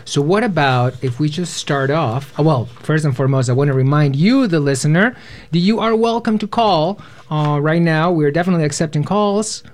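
A man's voice: on the high side, normal speed, darkish tone. A man in his twenties hosting a live radio panel from a studio, speaking to listeners and guests. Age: 20s. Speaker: radio host, panel moderator.

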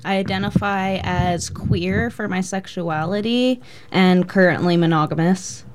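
A woman's voice: on the low side, unhurried, noisy background. A woman in her twenties talking informally as a guest on a radio panel in a studio. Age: 20s.

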